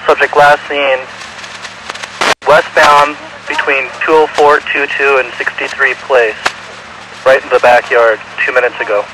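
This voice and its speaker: A man's voice: mid-range voice, little pitch movement, unhurried. Male police officer on a noisy radio. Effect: police radio transmission. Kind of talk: police radio traffic, terse reports. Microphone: police radio mic.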